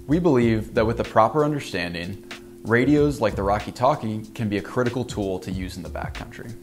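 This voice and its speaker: Young guy doing a scripted how-it-works talk, steady and clear, music under it. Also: on the low side, a little fast. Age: young adult. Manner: clear, even, informative delivery. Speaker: company presenter. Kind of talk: scripted product explainer.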